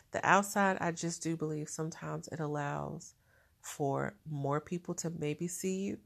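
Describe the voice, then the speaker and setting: Low gravelly woman rambling in her diary vlog, stuffed-up nasal sound. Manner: chatty, laughs often. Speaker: vlogger.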